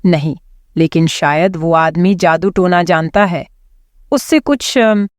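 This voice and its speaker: A woman playing an anxious, devout young wife, worried and pleading, in an animated horror story. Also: lowish voice, slow, dry recording, studio quality. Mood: fearful.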